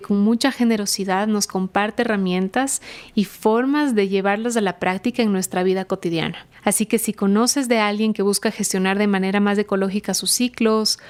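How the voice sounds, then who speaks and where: A woman's voice: medium pitch, fairly even intonation, normal speed, darkish tone, smooth. A woman hosting a podcast, reading the intro and then interviewing a guest in a friendly way, laughing often.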